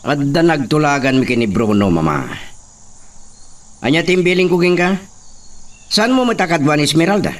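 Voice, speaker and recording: A man's voice: a little high, animated, moderate pace, long pauses, close to an everyday voice. A man playing a haughty, vengeful young hacienda heir in a radio drama, with an Ilocano accent. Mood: haughty, demanding. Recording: distant microphone sound.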